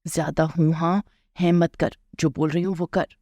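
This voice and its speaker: A woman's voice: sits low, steady tone, moderate pace, mellow, no room sound, voice pushed down. A woman voicing an older mother-in-law in a moral story, anxious, bossy and conspiratorial in her scolding. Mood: sly, bossy.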